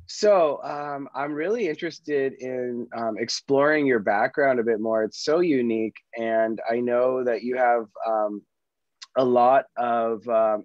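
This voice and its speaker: The man who hosts a relaxed online webinar, feeling his way thoughtfully and haltingly into each question, a touch muffled. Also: medium pitch, no room sound. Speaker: webinar host. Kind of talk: casual interview chat.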